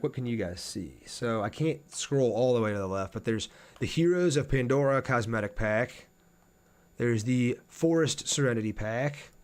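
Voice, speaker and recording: A man with a mid-pitched voice gives casual gameplay commentary on a live stream. He thinks aloud as he goes and talks informally to his viewers.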